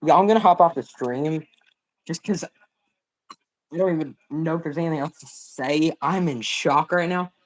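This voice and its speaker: A young man hosting a live watch party stream, talking excitedly with lots of slang and exclamations, his voice hoarse and cracking from a sore throat. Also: on the high side, moderate pace, rough recording. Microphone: phone.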